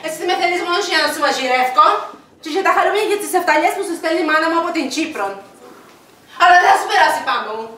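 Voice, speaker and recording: A woman's voice: very high voice, lively intonation, sharp tone, very echoey, far from the mic, full character voice. A woman playing a whiny, theatrical wife with a Cypriot Greek accent who dreams of a singing career, in a comedy sketch. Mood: indignant. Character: whiny Cypriot wife, aspiring nightclub singer.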